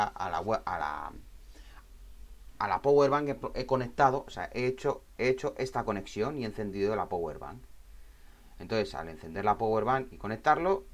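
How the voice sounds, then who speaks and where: A man instructing in an informal, explanatory tutorial style, often checking in with short tag questions. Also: lowish voice. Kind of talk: informal spoken screen tutorial.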